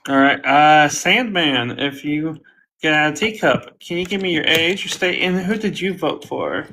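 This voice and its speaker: A man moderating an online debate panel, blunt and brash and quick to swear, speaking over a voice call. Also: on the high side, sharp tone, rough recording.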